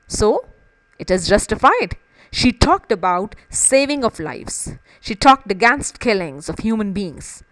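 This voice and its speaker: A woman's voice: on the high side, fairly bright tone, dry recording, poor audio. A woman literature teacher explaining a play in an emphatic way, often repeating and rephrasing phrases to stress them.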